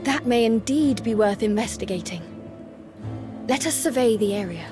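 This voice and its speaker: Formal, stoic warrior goddess played by a woman, slightly high. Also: lively intonation, music in the background, natural voice. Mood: solemn, detached. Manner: formal, measured, detached. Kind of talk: video game cutscene acting.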